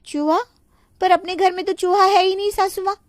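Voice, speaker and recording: A woman's voice: very high voice, steady tone, moderate pace, no room sound, clean studio sound, voice pushed up. A woman voicing a young daughter-in-law in a moral story, dutiful and polite but exasperated and complaining. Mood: doubtful.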